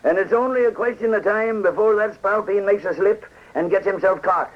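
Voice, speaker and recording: An actor playing a confident middle-aged police sergeant in a 1940s radio drama. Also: male voice, sits high, normal speed, lightly acted. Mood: assured. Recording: dull 1940s radio recording.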